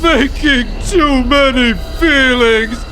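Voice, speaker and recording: A man's voice: very high voice, slow, loud music behind the voice. An actor playing a dim, brutish but childlike jungle ape-man who exclaims in broken caveman grammar in a comic drama. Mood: confused, emotional.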